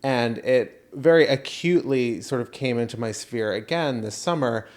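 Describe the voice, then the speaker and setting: A man with a mid-pitched voice chats as a podcast co-host. He tells comedic stories in an animated, dramatic manner, voicing people's reactions as he goes. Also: moderate pace. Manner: animated, dramatic storyteller who acts out reactions and quotes. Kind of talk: casual comedic storytelling chat.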